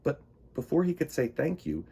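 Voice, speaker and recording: A man's voice: fairly high pitch, sing-song, normal speed, creaky, warm tone, slightly rough. A man reading a picture book to children as a storytime host, with theatrical, dramatic emphasis.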